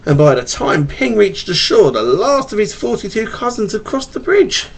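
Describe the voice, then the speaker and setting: A man with a slight stutter reading a picture book to his young child in a playful, animated way, with casual asides, recorded on a home webcam. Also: sits high, normal speed, creaky, breathy edge. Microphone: webcam mic.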